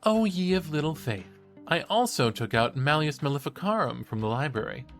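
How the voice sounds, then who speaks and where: A man playing an eager, credulous young student podcaster in an audio drama, talking in an animated, earnest, teasing way. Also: on the high side, moderate pace, soft background music. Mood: defensive, playful. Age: young adult. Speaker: podcast co-host character. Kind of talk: conversational audio drama acting.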